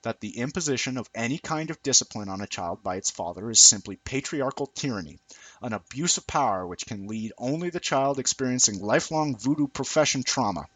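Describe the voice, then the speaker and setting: A man reading a scripted essay aloud in a deliberate, formal way with emphatic phrasing. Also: mid-range voice, expressive, a bit bright, husky, no echo, low-quality recording. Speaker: amateur reader of a written essay.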